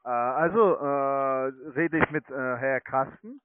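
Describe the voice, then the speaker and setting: Halting non-native man on a tinny phone line. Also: mid-range voice, expressive, background noise. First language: not German. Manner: halting, hesitant, broken non-native German phrasing. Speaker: telemarketing caller, online trading account manager. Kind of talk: phone sales pitch.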